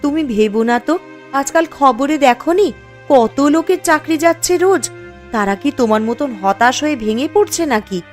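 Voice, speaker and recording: A supportive young wife, voiced by a woman, speaks earnestly and reassuringly in a cartoon drama. The voice is fairly high, with faint music behind. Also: animated, normal speed. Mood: encouraging. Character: steadfast, supportive young wife of a jobless husband. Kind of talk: Bengali cartoon story dialogue.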